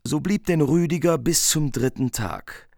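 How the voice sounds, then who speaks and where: A man giving calm, solemn scripted narration of an old heroic tale. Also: fairly high pitch, measured pace, gravelly, no echo, studio quality.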